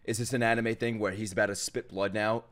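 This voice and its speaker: An excitable young man talks into a boom mic, his voice sitting fairly low as he reacts to an anime. He jokes crudely and jumps into sudden loud outbursts. A soundtrack plays faintly behind him. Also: brisk, music in the background. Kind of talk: live reaction commentary. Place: home streaming room with gaming chair. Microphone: studio mic on boom arm, headphones on. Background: anime soundtrack playing faintly.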